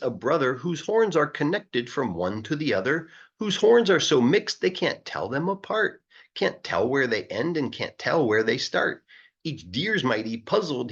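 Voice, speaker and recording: Storybook boy, read by a man: boastful, excited, very animated, husky, sing-song rhyme. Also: mid-range voice, highs cut off, exaggerated voice. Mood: proud, whimsical. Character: eager, boastful young boy dreaming up a zoo. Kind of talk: children's storybook reading.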